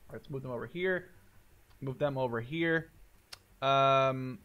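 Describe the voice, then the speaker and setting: A man's voice: medium pitch, fairly bright tone. A man in his twenties giving casual gameplay commentary, thinking aloud in an informal, sweary way. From Canada-based Dutchman. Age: 20s. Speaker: gaming video host. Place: indoors, voice over gameplay.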